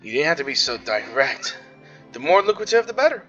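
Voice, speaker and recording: A woman doing casual gameplay commentary, halting at times, with jokey asides. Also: sits low, very animated, muffled recording. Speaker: gaming streamer. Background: faint game music.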